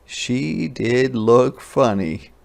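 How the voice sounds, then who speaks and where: Male picture book reader, slow expressive delivery, slightly gravelly mid-range voice. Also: mid-range voice. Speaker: story reader. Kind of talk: children's picture book read-aloud narration.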